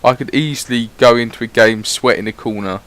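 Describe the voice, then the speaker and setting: A man's voice: mid-range voice, a little fast, fairly bright tone, low-quality recording. A man giving casual, rambling voice-over commentary on his gameplay, swearing freely as he chats. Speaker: gaming YouTuber.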